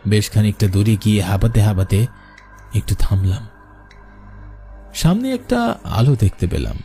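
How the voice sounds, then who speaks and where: A man's voice: lowish voice, sing-song, normal speed, warm tone, soft background music. A man narrating a scripted cartoon horror story in the first person with suspenseful, dramatic delivery.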